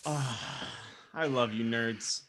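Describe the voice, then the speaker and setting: A man with a middle-pitched voice co-hosts a podcast. His delivery is informal and conversational, as in casual chat among friends. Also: slow pace, fairly bright tone. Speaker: podcast co-host.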